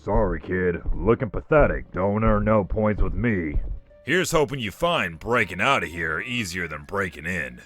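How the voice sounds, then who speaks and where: A man voicing a crotchety old walrus convict in a comic dub, gruff and folksy with streetwise slang. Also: sits low, lively intonation, unhurried, a bit bright, faint music behind. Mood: scornful.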